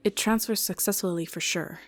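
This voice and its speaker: A woman voicing a calm, methodical female doctor who speaks in a measured, matter-of-fact way. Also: medium pitch, faint music behind, close to an everyday voice. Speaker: voice actor in a fan game dub.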